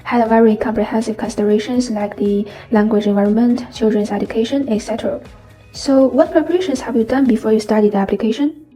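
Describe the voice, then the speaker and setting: A woman's voice: fairly high pitch, fast talker, mellow. A woman hosting an interview, reading a polished, upbeat script. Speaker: interview host. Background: faint background music.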